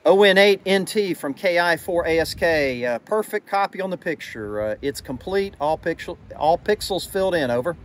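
Middle-aged American ham operator vlogging from his car boot, voice sitting a bit high, light noise behind. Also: animated, moderate pace, few pauses. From USA. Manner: friendly, radio-style call signs. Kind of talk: radio contact with casual narration. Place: outdoors at the open back of a car. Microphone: phone camera at arm's length.

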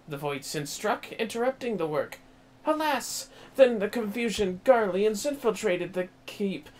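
A man's voice: high voice, slight background noise, pitched above the performer's own voice. A man voicing a melodramatic, wailing female knight in a fantasy game, with theatrical, archaic phrasing. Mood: melodramatic lament. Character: melodramatic wailing female knight, prim paperwork-loving guard.

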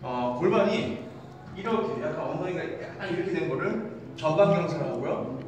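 A man in his thirties, a fitness coach, giving an animated exercise lesson while demonstrating moves in a large indoor gym, filmed from a distance. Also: fairly high pitch, fairly quick, background noise. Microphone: far camera. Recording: echoey gym from far off.

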